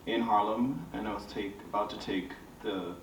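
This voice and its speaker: A man with a Bahamian accent and a lowish voice talks reflectively on an artists' panel. He tells personal stories to an audience and often tags statements with "right?" The mic is distant, leaving the room audible around him. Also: moderate pace. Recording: roomy, distant mic, faint noise.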